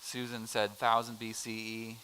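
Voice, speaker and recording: A man's voice: on the low side, completely flat delivery, a little slow, sharp tone, a little hiss or hum, rough recording. A middle-aged American man teaching a casual adult Bible class, chatty and joking as he quizzes the room. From United States. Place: church classroom.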